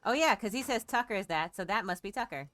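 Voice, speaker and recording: A woman's voice: mid-range voice, animated, quick pace, fairly bright tone. Female game streamer, medium register, casual, talks through her thoughts. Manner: thinking aloud.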